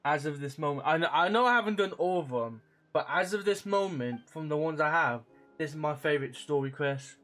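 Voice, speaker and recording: Higher-voiced man doing casual game commentary. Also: lively intonation, fairly quick, a bit bright, faint music behind. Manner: informal, sweary. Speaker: game streamer reacting to a story quest.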